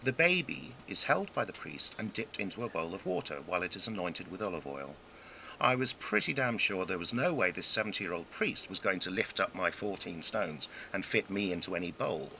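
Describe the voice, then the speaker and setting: A man reading his own humorous memoir aloud in a wry, self-deprecating way, with dramatic pauses and emphasis. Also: low voice, very animated, creaky, sharp tone, a little hiss or hum, low-quality recording. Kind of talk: scripted narration of a humorous memoir.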